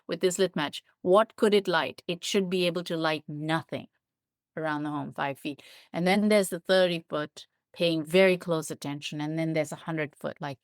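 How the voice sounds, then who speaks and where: A woman's voice: on the low side, no echo, clean studio sound. A woman giving thoughtful, explanatory answers as an expert guest in an interview. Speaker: interview guest, biologist and writer.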